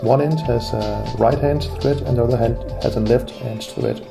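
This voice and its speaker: A man calmly explaining his classic car steering repair step by step, pausing with frequent "uh"s. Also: medium pitch, creaky, over music. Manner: calm, methodical, frequent "uh" pauses. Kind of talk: narrated garage repair vlog.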